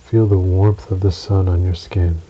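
A man narrating a guided relaxation meditation in a soothing, measured way, with long pauses between short phrases. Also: deep voice, level tone, dark tone, breathy edge. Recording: dull recording with audible hiss.

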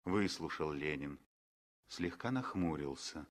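Expressive, animated male radio narrator. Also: very deep voice. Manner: expressive, dramatic storytelling, deliberate phrasing. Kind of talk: scripted radio drama narration, 1960s.

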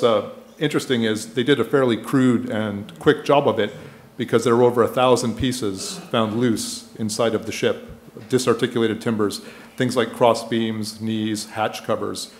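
A middle-aged man giving a conference lecture into a lectern microphone, explaining things informally with hesitant pauses. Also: lowish voice, fairly even intonation, slight background noise. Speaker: conference speaker, archaeologist presenting a project. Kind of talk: public lecture with slides. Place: indoor lecture room. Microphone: gooseneck lectern microphone. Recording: echoey room sound.

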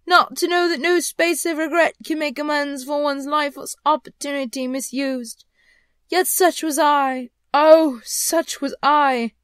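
A woman plays a dead businessman's ghost from a storybook, tormented and full of remorse. The voice is pitched high and closely recorded, with a dry sound. It wails and declaims slowly, stretching out each phrase. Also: steady tone, fairly bright tone, no room sound. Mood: anguished. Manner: wailing, slow, drawn-out declamation. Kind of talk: dramatic storybook character reading.

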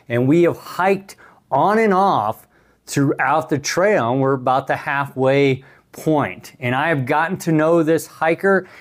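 Man in his sixties, casual vlogger. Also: on the high side. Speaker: vlogger, thru-hiker interviewing another hiker. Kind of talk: casual vlog talk to camera. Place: small hotel room, indoors. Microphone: clip-on lavalier on the chest.

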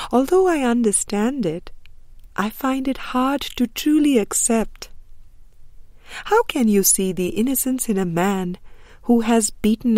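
A woman reading a spiritual book as an audiobook narrator, measured and deliberate, with frequent pauses. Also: mid-range voice, warm tone, no echo. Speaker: audiobook reader.

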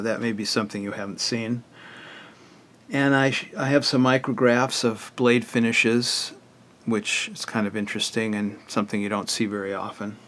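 An older man with a hoarse, raspy voice who often clears his throat, walking plainly through a book he wrote. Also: on the low side, fairly bright tone. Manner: plain, matter-of-fact, list-like explanation. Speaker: author presenting his book. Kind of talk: casual book walkthrough.

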